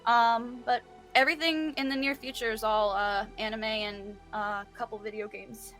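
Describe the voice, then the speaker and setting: A woman's voice: fairly high pitch, fairly even intonation, moderate pace, fairly bright tone, soft background music. A woman being interviewed as a singer, talking in an informal, giggly way. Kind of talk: casual interview chat.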